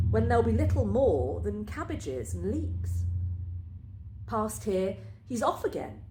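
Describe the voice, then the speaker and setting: Woman reading a story out loud, really unhurried and careful, bit of an echoey room. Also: medium pitch. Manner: measured, deliberate storytelling. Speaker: story reader. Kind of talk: scripted first-person literary story reading. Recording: roomy, echoing space.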